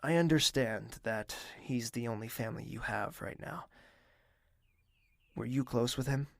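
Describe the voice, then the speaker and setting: An actor playing a young male prince who is thoughtful and reserved, speaking in a measured, formal and courteous way. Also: medium pitch, creaky, no room sound. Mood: grave. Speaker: narrator and lead character. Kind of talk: audio drama acting.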